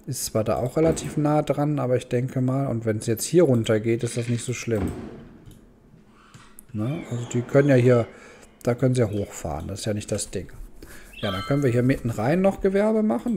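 Mellow man thinking aloud over a game. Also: mid-range voice, a little hiss or hum. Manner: thinking aloud, muttering questions to himself. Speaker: gaming host. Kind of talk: casual let's play commentary.

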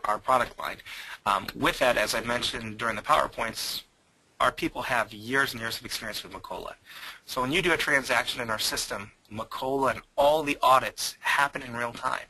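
A man presenting software in a webinar, answering audience questions with frequent hesitations. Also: medium pitch, husky, no room sound, poor audio. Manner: hesitant, many um fillers.

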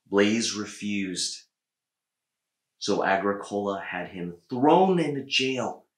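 A man in his thirties reading a children's story aloud with measured, deliberate phrasing and pauses. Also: fairly low pitch, a bit bright. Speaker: storybook reader. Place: small indoor room with bookshelves. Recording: echoey room.

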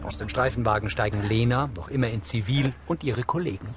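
A man's voice: mid-range voice, a little fast, heavy background noise. A man reading scripted audio description in a neutral, precise manner. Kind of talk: scripted narration.

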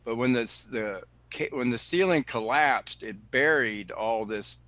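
A man, director of a cave preserve, answering questions on a video call in an explanatory way, often pausing with fillers and restarting sentences. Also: medium pitch, bright, forceful tone, dry recording. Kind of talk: answering questions in an online talk.

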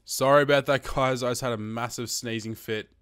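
Male gamer, 20s, Australian accent, medium pitch, casual sweary commentary, mildly sniffly. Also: steady tone, a little fast, fairly bright tone, smooth, no room sound, soft background music. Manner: sweary, chatty, addressing viewers as guys. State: sniffly from hay fever. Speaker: gaming video host.

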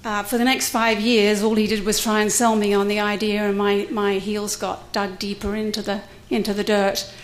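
A woman's voice: mid-range voice, level tone, normal speed, few pauses. New woman giving a candid talk. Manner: candid, self-deprecating, light humor. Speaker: conference panelist, cohousing resident. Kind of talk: prepared talk at a conference. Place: lecture room at a university conference.

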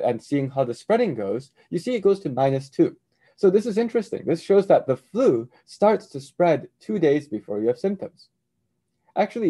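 A man in his thirties giving an animated online keynote lecture over a video call, explaining step by step. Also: mid-range voice. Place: indoors in front of a projected slide screen.

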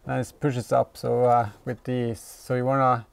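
A man in his thirties giving casual, halting hands-on commentary as a vlog host, thinking aloud while he works. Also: medium pitch, level tone, creaky, clear, resonant tone. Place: inside a car in a workshop.

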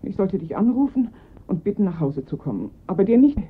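An actress playing a frantic, pleading mother of a kidnapped boy in a German radio crime drama, breathy, urgent and halting. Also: medium pitch, very animated, narrow, tinny audio. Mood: shaken, halting.

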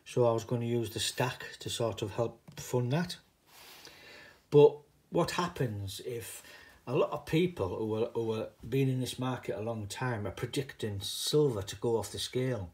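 A man's voice: mid-range voice, moderate pace, darkish tone. A middle-aged man with a northeast English, Teesside accent, talking casually and rambling a little as he hosts an unscripted hobby vlog. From northeast England (Middlesbrough). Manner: rambling, digressive. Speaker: video host, hobbyist coin stacker.

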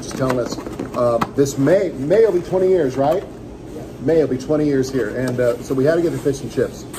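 A man's voice: mid-range voice, noisy background. Enthusiastic man reviewing fish and chips. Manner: enthusiastic, joking, talks while eating. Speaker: radio host. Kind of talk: casual restaurant review vlog. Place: small restaurant dining room, indoors. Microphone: phone camera on table.

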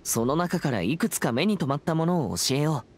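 A man's voice: a little high, very animated, a little fast, a little mellow, slight background noise. A young man voicing a serious, earnest teenage boy exorcist in a Japanese anime game, with a formal, reserved and polite manner. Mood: thoughtful. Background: background music.

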